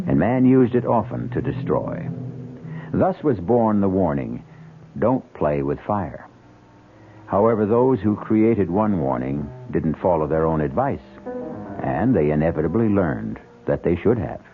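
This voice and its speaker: An actor narrating as a grave, measured storyteller in a scripted radio mystery. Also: male voice, low-pitched. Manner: measured, portentous. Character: grave, portentous male storyteller of a mystery show. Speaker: radio drama host and narrator. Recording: phone-like old radio recording, music behind. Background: music.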